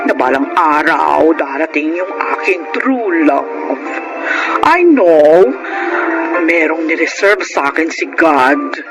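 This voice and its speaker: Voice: medium pitch, low-quality recording. A performer playing a hopeful, affectionate transgender woman in a Tagalog radio drama, with a Filipino accent and a polite, heartfelt delivery. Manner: heartfelt, polite, code-switches into English. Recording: radio drama with loud music bed.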